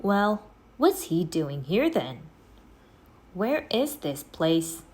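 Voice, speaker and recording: A woman's voice: lowish voice, sing-song, extremely slow, a little mellow, full character voice. A woman voicing a cautious, skeptical young boy in a children's storybook, with a dry, matter-of-fact delivery. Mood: doubtful, exasperated. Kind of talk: children's storybook read-aloud.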